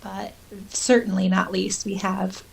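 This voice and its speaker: A woman co-hosting a podcast, chatting casually and reading notes aloud in a giggly, animated way. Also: mid-range voice, a little slow, vocal fry, husky, poor audio. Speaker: podcast co-host.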